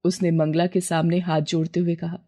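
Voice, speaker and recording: Mellow-voiced woman narrating a cartoon story. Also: fairly low pitch, flat delivery, fairly quick.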